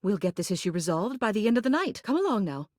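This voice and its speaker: A woman's voice: medium pitch, very animated, fast, a little mellow, dry recording, clean studio sound, close to an everyday voice. A woman playing a haughty, formal mayor who is secretly tender, in scripted video game dialogue. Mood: brisk, imperious. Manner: prim, formal, officious, clipped commands.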